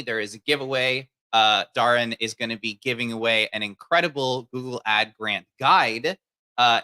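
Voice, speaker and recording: A man's voice: mid-range voice, pushed and bright. A man hosting a live video stream, upbeat and chatty with plenty of fillers. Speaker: livestream host. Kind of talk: casual livestream hosting.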